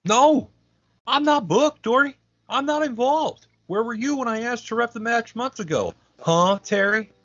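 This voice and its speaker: A man's voice: very high-pitched, unhurried, a bit bright, no echo, dull audio, heavily acted. A man acting in a comedic audio play as a petulant, self-important wrestling referee with a melodramatic manner. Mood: indignant. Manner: indignant, melodramatic.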